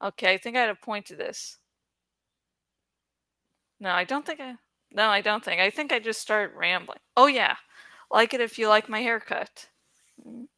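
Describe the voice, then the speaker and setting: Bright, clear-voiced woman chatting to viewers. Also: mid-range voice, moderate pace, pauses often, no room sound. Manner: rambling, playful, self-deprecating, giggly. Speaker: YouTuber who reads books aloud. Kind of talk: casual, chatty vlog talk to viewers.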